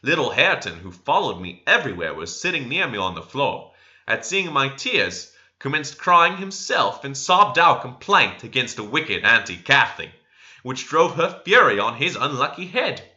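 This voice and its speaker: Very bright-voiced man narrating a novel. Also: mid-range voice, very animated, moderate pace. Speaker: audiobook reader. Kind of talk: expressive literary narration. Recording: slightly dull lo-fi recording.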